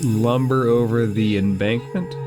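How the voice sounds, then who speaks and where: A man's voice: medium pitch, steady tone, a little slow. A man acting as game master, narrating a tabletop game and talking through rulings aloud. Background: background music.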